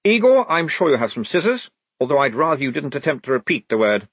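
A man's voice: a little high, sing-song, quick pace, husky, dry recording, pitched above the performer's own voice. A man voicing a prim, pompous lieutenant in an audiobook, proud of his own cleverness, with pedantic, clipped, officious delivery. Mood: brisk, officious.

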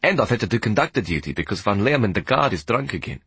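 Man as a flustered train attendant, apologetic, husky, dry sound. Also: lowish voice, big pitch swings, fairly bright tone, dry recording, exaggerated voice. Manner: apologetic, hurried explaining.

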